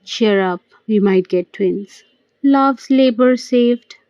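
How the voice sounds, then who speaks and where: A woman's voice: on the high side, slow pace, a little mellow, smooth, dry recording, muffled recording. A woman reading the part of a playful, cajoling young man in an amateur audio play.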